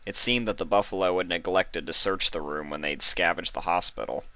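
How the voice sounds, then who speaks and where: A man's voice: sits low, fairly quick, pushed and bright, dry recording. A man reading fan fiction aloud as scripted narration.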